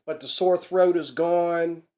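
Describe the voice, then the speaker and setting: Middle aged guy a little hoarse from a cold, talks slow and chatty like a preacher, webcam at home. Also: on the high side, fairly even intonation, dark tone. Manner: folksy, chatty, preacher-like emphasis. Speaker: ukulele channel host. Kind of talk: casual instructional vlog. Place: small room at home, indoors.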